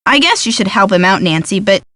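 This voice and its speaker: A woman's voice: medium pitch, lively intonation, a little fast, fairly bright tone, no room sound, natural voice. An actress playing a practical, sceptical young woman in a video game, with a sarcastic, teasing manner. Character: sardonic, practical young tomboyish woman, sleuth's sceptical friend. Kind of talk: scripted video game dialogue.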